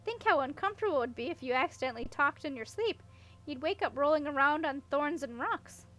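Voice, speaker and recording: Frank, high-voiced young princess voiced by a woman. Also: animated, fairly quick, fairly bright tone. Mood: matter-of-fact. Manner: matter-of-fact, questioning. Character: headstrong, practical young princess, frank and curious. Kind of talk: audiobook character voice.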